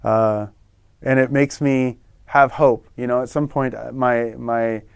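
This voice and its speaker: A middle-aged man talking in a relaxed, rambling way, often restarting his sentences, as a guest in an interview-style lesson. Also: medium pitch, a little slow, gravelly, clean tone, no echo, clean studio sound. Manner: relaxed, rambling, self-correcting. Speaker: guest in a language lesson show. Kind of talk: casual interview chat.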